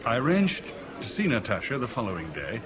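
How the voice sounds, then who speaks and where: Casting: determined lovelorn searcher, lively intonation, earnest literary delivery for vintage radio drama. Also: male voice, mid-range voice, a little fast. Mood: frustrated. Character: determined, lovelorn man searching Hong Kong for missing woman. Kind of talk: 1970s British radio drama, first-person narration and dialogue. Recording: radio drama with music or club crowd behind.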